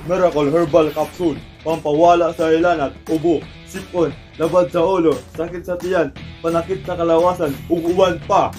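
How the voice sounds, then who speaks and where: A man's voice: on the high side, flat delivery, moderate pace, a little mellow, soft background music. A man reading a scripted radio commercial as an announcer. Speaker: advertisement announcer.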